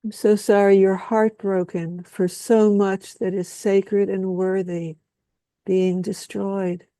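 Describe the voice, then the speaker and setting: A woman speaking a slow, solemn spoken-word lament, with repetitive phrasing and long pauses. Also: mid-range voice, little pitch movement, warm tone, no echo.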